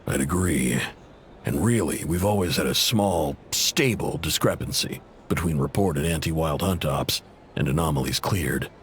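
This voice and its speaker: A man's voice: extremely low pitch, moderate pace, slight background noise. A man playing a proud, indebted intel broker in a video game, blunt, casual and guarded, with an exasperated edge. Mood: guarded, informative.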